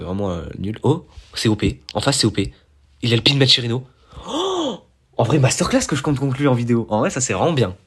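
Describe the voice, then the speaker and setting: A young man with a cold, nasal and stuffy, giving excitable, slangy commentary over his own gameplay and poking fun at his mistakes. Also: on the low side, slightly rough. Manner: excitable, self-mocking, slangy. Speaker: gaming YouTuber.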